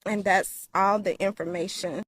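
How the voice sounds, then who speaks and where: A middle-aged woman making an unscripted public appeal to news media, giving details in a plain, halting, factual way. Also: medium pitch, steady tone, a little hiss or hum.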